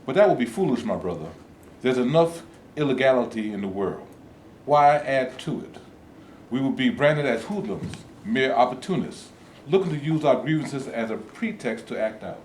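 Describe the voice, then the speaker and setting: A man's voice: medium pitch, expressive, normal speed, darkish tone, lightly acted. A man playing a cautious, principled activist leader in a staged play reading, formal and earnest. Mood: cautioning. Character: cautious male civil rights leader, principled, measured. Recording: echoey room with background noise.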